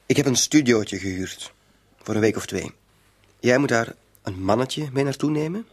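An actor playing a shady small-time crook in a radio play, hesitant yet smooth-talking and insinuating, coaxing with a quiet threat underneath. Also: male voice, mid-range voice, expressive, moderate pace, close to an everyday voice. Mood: coaxing, evasive. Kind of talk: Dutch-language radio play acting.

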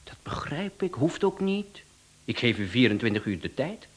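A professional male hitman in a radio drama. His voice is a little husky and swings widely in pitch. He is businesslike and formal, proud of his trade and quick to take offence. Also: very animated. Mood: businesslike, insistent. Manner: formal, smooth-talking salesman patter, indignant. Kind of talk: radio play acting.

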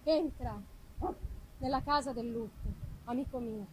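A woman with a distinctly high voice reads a gothic novel aloud in a theatrical, expressive way. Her phrasing is deliberate and literary. There is light ambient noise behind her. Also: rough recording. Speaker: reader of a literary audiobook.

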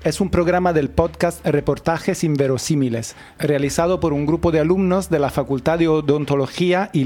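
A man's voice: a little high, a little mellow. A man hosting a radio show, introducing guests in a chatty, joking way. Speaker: radio host. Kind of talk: on-air radio interview.

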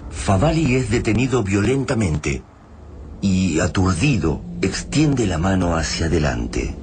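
A man with an Argentine accent playing a grave narrator of an alien invasion radio drama, speaking in a solemn, deliberate, ominous way. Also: deep voice, very animated, noisy background.

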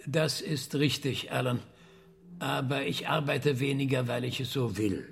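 An actor playing a patient professor in his sixties who questions carefully and deliberately in a radio drama. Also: medium pitch, vocal fry, slightly rough. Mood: friendly, careful. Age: 60s. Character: 68-year-old male professor, patient, careful, probing questioner.

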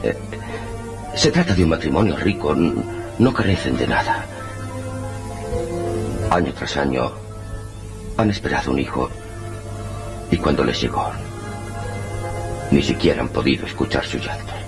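A man's voice: extremely low pitch, animated, measured pace, pauses often, music in the background, full character voice. A man playing a grave, solicitous doctor in old-style radio melodrama, solemn and at times hesitant. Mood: hesitant, earnest. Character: grave, solicitous male doctor with a secret plan. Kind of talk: melodramatic old Spanish radio serial acting.

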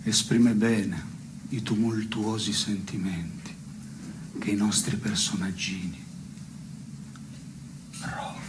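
An actor playing a world-weary cowboy in an absurdist stage dialogue, speaking in a deadpan, sententious way. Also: male voice, on the low side, takes it slowly, pauses often, background noise. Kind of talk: theatre dialogue.

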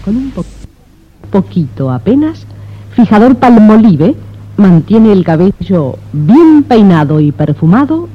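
Vintage radio commercial announcer, very animated intonation, dull lo-fi broadcast sound. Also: medium pitch, a little slow, long pauses. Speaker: advertising announcer. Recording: muffled old radio commercial recording.

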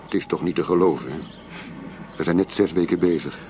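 An actor in a radio drama playing a sceptical undercover police officer, dry and matter-of-fact. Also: male voice, deep voice, a little fast, highs cut off, a little hiss or hum, natural voice. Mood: grumbling, wry. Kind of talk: Dutch radio drama dialogue.

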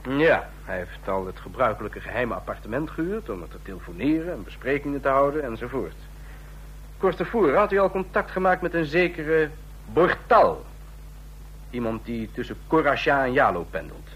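An actor playing a suave, scheming ambassador in a Dutch radio drama, speaking formally and deliberately. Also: male voice, medium pitch, very animated, a bit bright, a little hiss or hum, low-quality recording. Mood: conspiratorial. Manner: formal, deliberate, declamatory when toasting. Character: suave, scheming ambassador of a small authoritarian state.

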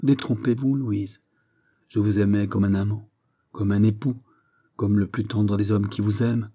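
Storybook suitor, played by a male narrator: betrayed young nobleman, solemn and bitter, grandly tragic, low dark voice. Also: fairly even intonation, moderate pace, natural voice. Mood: passionate grief. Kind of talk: dramatic storybook reading.

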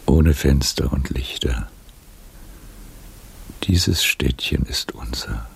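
An older man acting in a German radio drama as a weary, tender, melancholy old aristocrat, speaking verse in a hushed, measured way. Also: rumbling low, vocal fry, slightly rough, full character voice. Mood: hushed, wistful. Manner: hushed, slow, measured delivery.

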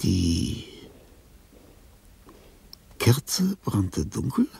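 A lonely old composer near death in a radio play. His voice is deeply creaky and breathy, rambling slowly between tenderness and confusion, with wide swings from murmur to cry. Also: huge pitch swings, mellow, heavily acted. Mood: solemn, murmuring. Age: older. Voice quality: gravelly, husky, breathy. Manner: halting, swinging between whispers and cries. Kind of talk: German radio drama acting.

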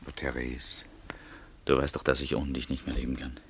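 An actor playing a nervous, ardent secret lover in a German radio play. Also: male voice, rumbling low, completely flat delivery, fairly quick, husky, full character voice. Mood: furtive, anxious.

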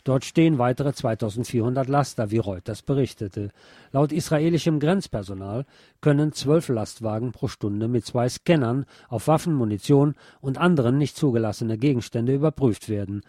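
A man reading scripted radio news in a measured, formal manner. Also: medium pitch, dark tone, no room sound, clean studio sound.

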